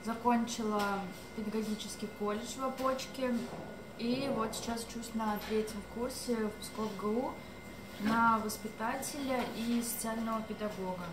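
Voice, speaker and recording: A woman's voice: a little high, fairly even intonation, moderate pace. A woman in her early twenties informally introducing herself. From Novosokolniki, Russia. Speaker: student introducing herself at a meeting. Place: indoor cafe, seated at table, camera mic at a distance. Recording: echoey cafe, distant mic, background noise.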